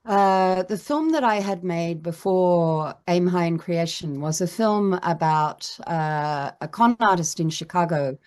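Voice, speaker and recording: A middle-aged woman filmmaker answering interview questions in a reflective, measured way with frequent pauses. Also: lowish voice, a little mellow. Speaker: documentary filmmaker.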